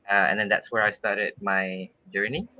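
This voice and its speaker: A man's voice: on the low side, fairly even intonation, moderate pace, no room sound. A man in his thirties from Malaysia, a swimming coach talking easygoingly with many fillers and some laughter. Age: 30s. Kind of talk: casual podcast interview. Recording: dull lo-fi sound.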